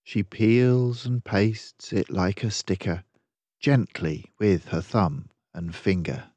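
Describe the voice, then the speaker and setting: Storyteller: older man, slightly husky and close-recorded, expressive, unhurried read-aloud for young children. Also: on the low side, no room sound. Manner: measured, deliberate, rhyming delivery. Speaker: storyteller reading a children's picture book.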